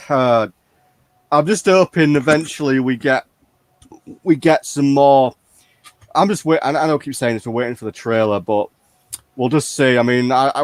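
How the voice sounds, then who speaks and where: A man's voice: medium pitch, no echo. A man hosting a fan community chat in a casual, conversational way.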